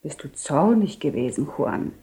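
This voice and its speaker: A gentle, mellow-voiced duchess. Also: female voice, low voice, expressive, normal speed. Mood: tender, subdued. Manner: soft, tender, restrained. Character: gentle, dignified duchess, a loving wife. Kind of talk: 1970 radio play acting.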